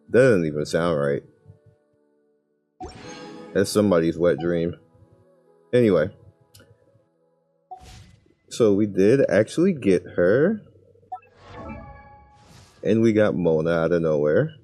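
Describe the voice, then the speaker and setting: A man with a deep, mellow voice commentates casually on a game. He thinks aloud at a slow pace, muttering informal, slangy remarks to himself. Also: lively intonation, faint music behind. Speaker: gaming video creator.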